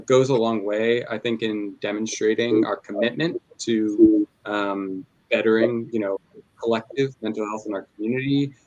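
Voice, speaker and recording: A man's voice: on the low side, low-quality recording. Hesitant man speaking on a panel. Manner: hesitant, filler-heavy. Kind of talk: panel discussion.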